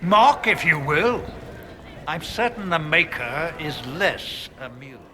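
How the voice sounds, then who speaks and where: An actor playing a haughty, sceptical church chancellor in a fantasy game, speaking in a pompous, biting, indignant manner. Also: male voice, fairly high pitch, takes it slowly, crowd noise. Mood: disdainful. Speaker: game character. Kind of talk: fantasy video game voice acting.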